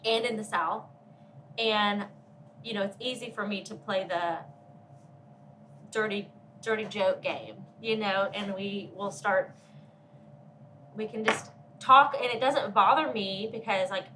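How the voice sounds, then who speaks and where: A woman's voice: medium pitch, measured pace, long pauses, far from the mic, background noise. A woman co-hosting a podcast, talking in a candid, chatty way and laughing easily. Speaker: podcast co-host. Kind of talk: casual conversation.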